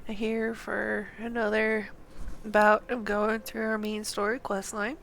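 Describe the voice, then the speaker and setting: A woman's voice: medium pitch, little pitch movement, takes it slowly, vocal fry, fairly bright tone, a little hiss or hum. A congested woman streamer, under the weather, chatting apologetically while she plays and reads game text aloud. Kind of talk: casual gameplay commentary and reading.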